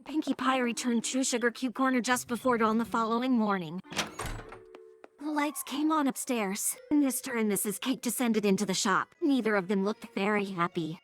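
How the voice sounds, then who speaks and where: A woman's voice: on the high side, normal speed. A woman narrating a scripted story as the narrator of an audio drama. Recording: clearly noisy background.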